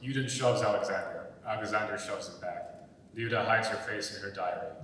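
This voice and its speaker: A man narrates stage directions for a dramatic reading. His voice is on the low side and comes from a distance, with heavy echo and faint noise in a large hall. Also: steady tone, fairly quick, fairly bright tone. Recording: echoey hall, far from mic, faint noise.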